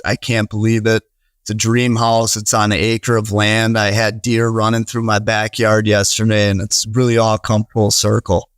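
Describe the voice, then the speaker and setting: A man's voice: fairly low pitch, dry recording. A man in his thirties with a Wisconsin accent, a guest on a podcast interview, talking earnestly in a rambling way with many restarts and fillers. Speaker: podcast guest, real estate investor. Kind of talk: casual conversational interview.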